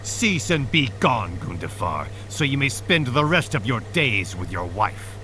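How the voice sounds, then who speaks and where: A man's voice: mid-range voice, big pitch swings, a little slow, pushed and bright, slight background noise. An imperious, wrathful king, swooping delivery. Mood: cold, angry. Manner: imperious, solemn, declamatory. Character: wrathful, fanatical, suspicious old king in a stage play. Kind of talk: theatrical stage-play acting in a video game. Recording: music behind the voice.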